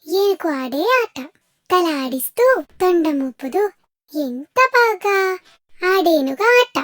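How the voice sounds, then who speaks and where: A very high, childlike presenter introduces children's songs from a script. The delivery is slow and turns to the young listeners with questions, in a dry, close sound. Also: animated, dry recording.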